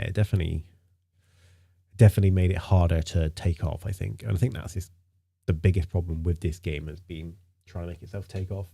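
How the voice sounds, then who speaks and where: Guy with a head cold, husky and dark, chatting on stream, lots of ums. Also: deep voice. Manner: rambling, many fillers and repeats. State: nasal from a cold. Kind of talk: casual live-stream chat.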